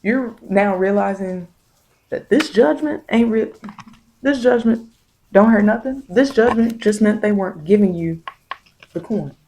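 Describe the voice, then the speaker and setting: A woman giving a soft ASMR tarot reading, speaking in a halting, repetitive, reassuring way as she offers spiritual guidance. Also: mid-range voice, a little hiss or hum, rough recording. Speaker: tarot reader.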